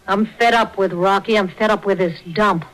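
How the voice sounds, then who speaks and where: An actress playing a brassy, scheming gangster's wife in a 1940s radio crime drama, with an American accent and slangy, sarcastic speech. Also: female voice, mid-range voice, steady tone, moderate pace, a bit bright, natural voice, poor audio. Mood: fed up. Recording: vintage 1940s radio broadcast.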